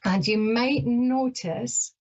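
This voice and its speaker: A woman's voice: on the high side, slow, a little mellow, highs cut off. A middle-aged Scottish woman from Ayrshire hosting a psychic radio show, talking chattily and nervously with lots of fillers. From Scotland. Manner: chatty and hesitant, with many fillers. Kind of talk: casual talk-radio monologue.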